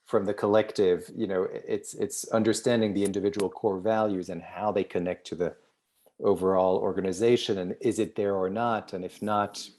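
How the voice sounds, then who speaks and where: A man hosting a podcast, speaking in a measured way with frequent restarts. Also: lowish voice, steady tone, darkish tone. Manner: measured, many restarts and hedges. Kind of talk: conversational podcast interview.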